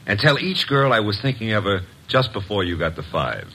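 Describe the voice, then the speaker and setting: An actor playing a brash, wisecracking assistant detective in a 1950s radio drama. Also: male voice, lowish voice, lively intonation, a little fast, pushed and bright, heavily acted, low-quality recording. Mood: tough, wisecracking.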